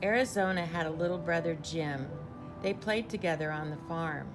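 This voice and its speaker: A woman reading a children's picture book aloud like a teacher, with measured, deliberate storytelling and clear phrasing. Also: deep voice, over music.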